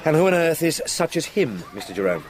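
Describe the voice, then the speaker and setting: An actor playing a young English solicitor in a radio drama, formal, articulate and questioning, with an uneasy edge. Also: male voice, fairly high pitch, big pitch swings, brisk, a faint crowd. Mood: polite curiosity. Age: young adult.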